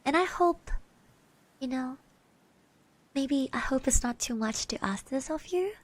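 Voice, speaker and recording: A woman doing an ASMR roleplay as a caring girl classmate, soft-spoken and hesitant, close to the mic and often slipping into breathy whispers. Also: sits high, animated, unhurried. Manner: hesitant, gently reassuring, speaks of herself in third person. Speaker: ASMR roleplay performer, streamer. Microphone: close microphone.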